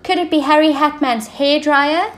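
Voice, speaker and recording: A woman's voice: high-pitched, steady tone, normal speed, pitched above the performer's own voice, natural voice. A woman voicing an eager, inquisitive storybook queen who is helpful and a little quarrelsome. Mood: suggesting.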